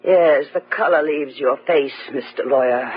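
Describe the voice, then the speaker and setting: A woman playing a bitter, dying wife who taunts in a husky, drawn-out, theatrical gothic radio style. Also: very deep voice. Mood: menacing, taunting. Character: bitter dying wife, venomous, haunting, mocking. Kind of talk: old-time gothic radio acting. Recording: dull vintage radio recording, music behind.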